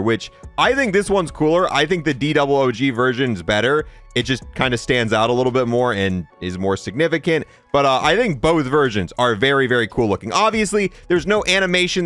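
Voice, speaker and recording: A man's voice: fairly high pitch, a bit bright. A man giving casual, informal video game review commentary, thinking aloud as he goes. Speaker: gaming video host and reviewer.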